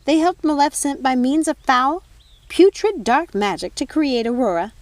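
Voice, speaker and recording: A woman's voice: high voice, animated, moderate pace, darkish tone, no echo, voice pushed up. A woman voicing a prim, bossy old fairy godmother in a storybook, indignant, theatrical and officious. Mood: officious outrage.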